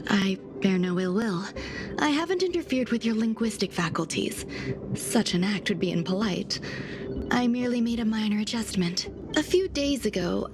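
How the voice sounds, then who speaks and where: A calm genius scientist lady from a game, kinda high voice, very proper and aloof, music behind. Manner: formal, measured, softly breathy. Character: poised, enigmatic female genius scientist, formal and detached. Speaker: video game character. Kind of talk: scripted game dialogue. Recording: music under the voice.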